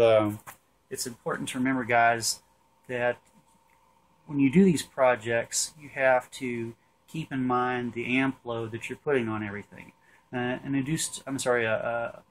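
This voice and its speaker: A man in his forties gives an informal hands-on technical explanation, thinking aloud haltingly, recorded on a camera in an indoor workshop. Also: mid-range voice. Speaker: HVAC tutorial presenter. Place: indoor workshop with metal shelving.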